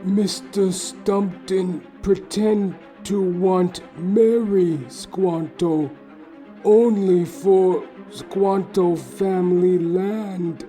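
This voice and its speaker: A man voicing a naive, wronged young man who pleads in halting broken English in a comic audio drama. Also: sits high, steady tone, mellow, faint music behind, pitched above the performer's own voice. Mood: plaintive.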